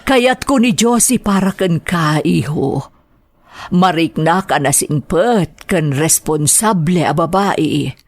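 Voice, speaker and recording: A woman's voice: lowish voice, lively intonation, takes it slowly, no room sound, full character voice. A woman acting an earnest, persuasive mother of a grown son in an Ilocano radio drama. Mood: concerned, coaxing.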